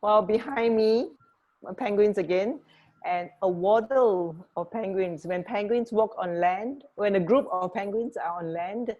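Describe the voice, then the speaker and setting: A woman co-hosting a radio show in casual chat, halting and often rephrasing mid-sentence. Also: mid-range voice, a little mellow, dull audio.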